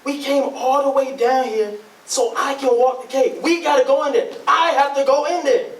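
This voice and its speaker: A man's voice: extremely high pitch, normal speed. A man acting an eager young sweetheart on stage, coaxing and insisting. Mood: insistent, impatient. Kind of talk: stage readers theatre acting. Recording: echoey room, distant mic.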